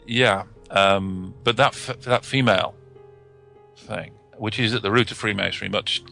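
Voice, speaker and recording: Gravelly low-voiced man, speaking haltingly. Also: sharp tone, faint music behind. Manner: hesitant, halting delivery. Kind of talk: discussion of esoteric symbolism.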